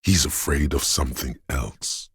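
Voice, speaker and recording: An actor voicing a video game character, a paranoid, aggressive inner voice of fight-or-flight instinct, speaking in an ominous, raspy, urging way. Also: male voice, a bit bright, no echo, studio quality, exaggerated voice. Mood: tense, watchful. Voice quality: very deep, gravelly, raspy. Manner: slow, deliberate, ominous urging.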